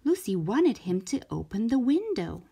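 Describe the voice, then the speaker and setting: A woman reading a storybook aloud for young children in an expressive, deliberate way, stretching out key words. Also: fairly high pitch, creaky, breathy edge. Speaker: children's storybook reader, show host.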